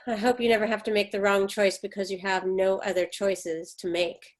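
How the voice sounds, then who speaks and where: A woman's voice: mid-range voice, flat delivery, moderate pace, lightly acted. A woman reading the part of an embittered, defensive middle-aged mother in a stage drama, with emphatic, reproachful delivery. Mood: bitter, insistent. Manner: emphatic, reproachful, clipped retorts.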